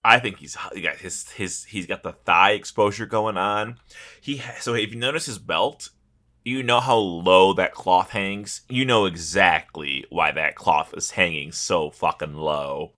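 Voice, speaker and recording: A man's voice: sits low, unhurried, pushed and bright. A man co-hosting a podcast in casual comedic banter, teasing and swearing freely. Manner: teasing, profane. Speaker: podcast co-host.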